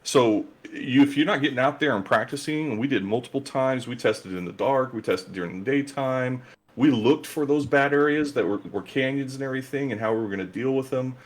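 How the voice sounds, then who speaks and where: A man's voice: mid-range voice, brisk. A man guest in a casual interview, talking earnestly and gratefully about his gaming group.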